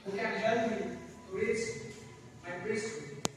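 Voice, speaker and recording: A man's voice: high voice, takes it slowly, over music. A man in an amateur stage play acting an earnest, humble peasant boy who dreams of priesthood, speaking in a declamatory way. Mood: devout, resolute. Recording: echoey hall, distant mic, faint noise.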